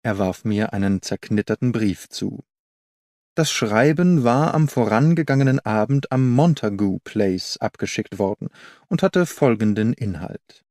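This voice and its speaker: Male narrator for classic fiction: soft dark tone, medium pitch, measured and precise, very dry studio sound. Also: lively intonation, no echo. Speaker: audiobook narrator. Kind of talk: scripted literary narration.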